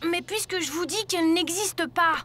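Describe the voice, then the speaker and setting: A woman's voice: extremely high pitch, normal speed, music in the background. A woman voicing an earnest, good-natured young schoolboy hero in a cartoon. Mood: hesitant, puzzled. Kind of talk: cartoon voice acting.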